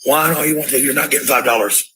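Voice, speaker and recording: A woman's voice: rumbling low, fairly even intonation, fast, heavy background noise. A woman arguing and ranting on a home livestream in a blunt, confrontational way, swearing freely. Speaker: livestreamer and parent. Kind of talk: heated argument and ranting to stream viewers.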